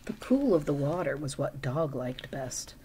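A middle-aged woman reading a picture book aloud as a storytime reader, with chatty asides and expressive, drawn-out emphasis. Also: deep voice, background noise. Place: small room at home.

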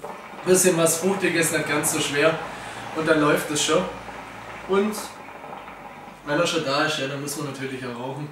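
A man's voice: a little high, normal speed, long pauses, noisy background. Hungover young man chatting on a sofa. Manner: laid-back, hungover, chuckling. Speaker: co-host. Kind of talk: casual chat. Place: living room. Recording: echoey room from a distance.

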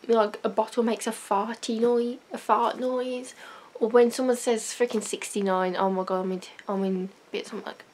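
A teenage girl rambling in a casual, self-mocking vlog, talking into a webcam in her bedroom. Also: on the high side. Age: teens. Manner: rambling, self-mocking, loud sudden outbursts. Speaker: vlogger. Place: small bedroom, indoors. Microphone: webcam at desk.

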